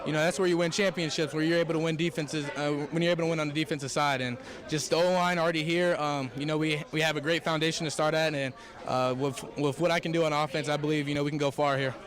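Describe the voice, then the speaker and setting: A man's voice: a little high, steady tone, fast talker, fairly bright tone. A young man, a college football quarterback, answering questions in a press interview. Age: young adult.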